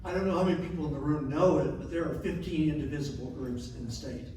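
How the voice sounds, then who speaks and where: A man gives a steady, conversational update to a community group. His voice sits a little high, and he is picked up from a distance in a very echoey meeting room with some faint noise. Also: quick pace. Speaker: group member giving an update. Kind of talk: informal meeting report.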